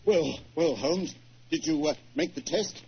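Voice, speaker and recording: An actor playing a wealthy, excitable older British collector with a stammering, blustery manner in a 1940s radio drama. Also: male voice, a little high, measured pace. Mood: flustered. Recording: dull, noisy 1940s radio recording.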